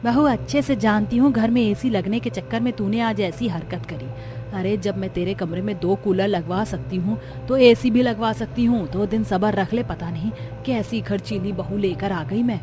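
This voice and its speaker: A woman's voice: mid-range voice, moderate pace, dark tone, soft background music, lightly acted. A woman voicing an exasperated, proud middle-aged mother-in-law in a cartoon story, lecturing and scolding. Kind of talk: cartoon story character acting.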